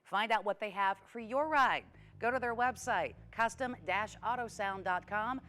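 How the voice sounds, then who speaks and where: A woman's voice: medium pitch, normal speed, sharp tone, a little hiss or hum. A woman co-hosting a car show, presenting products in a chatty, informative way.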